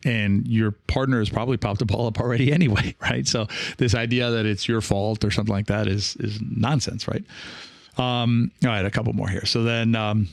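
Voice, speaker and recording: A man hosting a casual solo podcast, talking in a rambling, reassuring way with frequent pauses and check-ins. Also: fairly low pitch, steady tone, vocal fry, no echo. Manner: hesitant, rambling, reassuring, often checking in with "right?". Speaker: podcast host and experienced pickleball player. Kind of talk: casual solo podcast talk, reading and answering listener comments.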